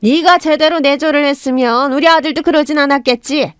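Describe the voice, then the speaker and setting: A shrieking, scornful older mother-in-law who sneers and hurls insults. Her voice rides high and sharp, put on by a woman. The sound is close and dry. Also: brisk, no echo, natural voice.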